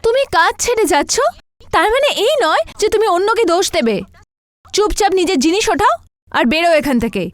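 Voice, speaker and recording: A woman voicing a bossy lady of the house with a brisk, commanding manner in a story. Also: very high voice, lively intonation, no room sound, pitched above the performer's own voice. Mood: scolding. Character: bossy female head of household.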